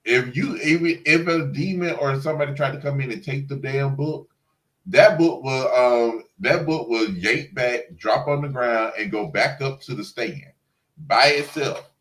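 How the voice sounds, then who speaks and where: A man's voice: medium pitch, a little slow, a bit bright, rough recording. A man co-hosting a casual TV review chat, speaking colloquially and teasingly, repeating himself for emphasis. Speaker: co-host of a TV review livestream.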